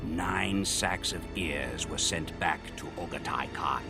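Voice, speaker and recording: Low gravelly male narrator over music. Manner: solemn, measured storytelling. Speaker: narrator of a game campaign. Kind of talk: scripted narration over game cutscenes. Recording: game cutscene audio with loud music.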